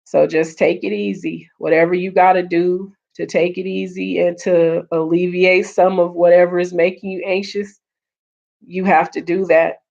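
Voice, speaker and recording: Woman, live-stream host, low-ish voice, hoarse, earnest, dull lo-fi sound. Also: flat delivery, normal speed, darkish tone. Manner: earnest, repeats points, greets chat viewers by name. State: hoarse, losing her voice. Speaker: livestream host. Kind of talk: casual live-stream chat.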